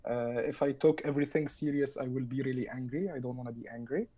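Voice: mid-range voice, fast talker, dark tone. A speaker from Egypt with an Egyptian Arabic accent and Arabic as a first language, talking as a roundtable guest, hesitant with many fillers and a dry, sarcastic humor. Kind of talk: casual discussion.

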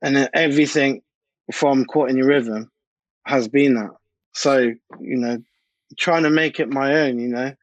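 A man's voice: mid-range voice, fairly even intonation, a little slow, no room sound. Man chatting, dull dry recording. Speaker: DJ and music producer being interviewed. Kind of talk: casual interview chat, anecdotal.

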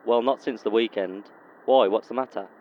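A man's voice: mid-range voice, normal speed, close to an everyday voice. A man acting a casual, dismissive, defensive divorced father in an audio drama. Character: laid-back, defensive divorced dad. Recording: dull phone line, outdoor traffic.

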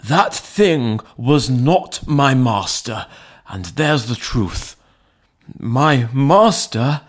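A man voicing an agitated, deferential elderly butler in an audiobook, loyal and frightened, speaking haltingly and emphatically. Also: mid-range voice, lively intonation, pitched above the performer's own voice. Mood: insistent, shaken. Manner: halting, emphatic, servile address. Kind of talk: dramatized audiobook reading.

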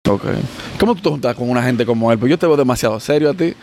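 A man hosts a relaxed podcast interview. He needles and jokes with his guests while steering the talk, in a plain mid-range voice. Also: mid-range voice, fast talker, a little hiss or hum. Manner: teasing, joking interviewer. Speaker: podcast host.

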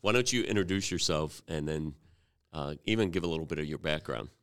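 A man from Cleveland hosting a casual church podcast interview, joking and cutting in with quick affirmations. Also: rumbling low, fairly bright tone, smooth. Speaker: podcast host.

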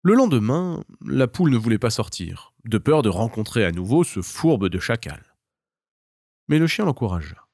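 A man's voice: mid-range voice, big pitch swings, moderate pace, darkish tone, no room sound, clean studio sound. A man narrating a scripted children's tale as a storyteller.

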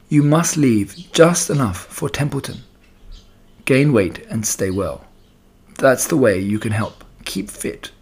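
A man voicing a calm, motherly female spider in a children's story, comforting and gently firm. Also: mid-range voice, slightly rough. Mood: gently instructing.

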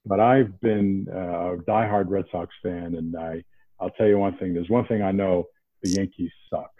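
A man from around Boston, a podcast guest, talking in a casual animated way with many restarts. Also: low voice, normal speed, dry recording. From New England, outside Boston. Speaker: podcast guest, investment banker. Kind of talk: casual interview talk. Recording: tinny phone-like line.

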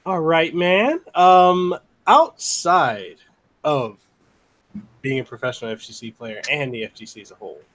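A man hosting a podcast, a native Samoan speaker from outside the U.S., easygoing and quick to laugh. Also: fairly high pitch, lively intonation, a bit bright. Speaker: podcast host. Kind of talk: casual interview hosting.

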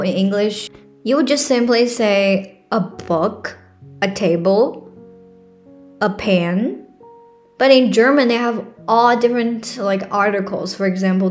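A young woman from China with a Chinese accent hosts her own podcast in a casual, earnest way, full of fillers and restarts. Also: mid-range voice. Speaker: podcast host. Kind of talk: casual solo podcast talk.

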